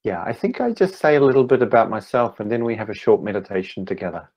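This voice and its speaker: A man in his fifties with a New Zealand accent, giving an online talk as a meditation teacher, speaking slowly and deliberately with gentle pauses. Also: lowish voice, very animated. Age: 50s. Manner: slow, deliberate, gently pausing. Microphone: video call.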